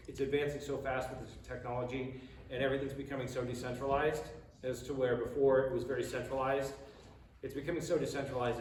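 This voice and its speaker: A middle-aged American man talking casually and emphatically at a convention Q&A, in an echoing, noisy hall. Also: mid-range voice. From Colorado, United States. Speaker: voice actor, panel guest. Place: large indoor convention room with audience. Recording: very echoey noisy hall, distant microphone.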